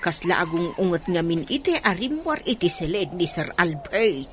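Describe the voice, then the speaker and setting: A woman's voice: lowish voice, very animated, normal speed, very noisy. A woman playing a gossipy, deferential household servant in a radio drama. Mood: gossipy, indignant.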